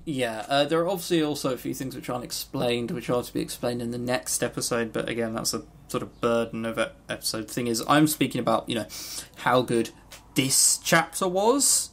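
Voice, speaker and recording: A man's voice: mid-range voice, fairly quick, a bit bright. A man co-hosting a podcast, talking casually and informally, with opinionated but hesitant delivery. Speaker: podcast co-host. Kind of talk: casual game review chat.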